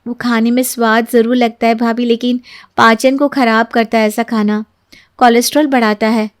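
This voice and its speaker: Woman doing a sweet new bahu in a moral story, high soft voice, polite, kinda preachy. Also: flat delivery, moderate pace, clear, resonant tone, natural voice. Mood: polite, firm. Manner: polite, soft-spoken, earnest. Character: gentle, health-preaching new young daughter-in-law.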